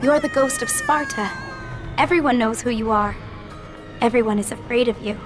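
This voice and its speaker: A woman's voice: fairly high pitch, normal speed, natural voice. A woman playing a fearless, earnest young girl in a video game, speaking insistently. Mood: earnest, pleading. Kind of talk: video game cutscene acting. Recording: game music behind voice.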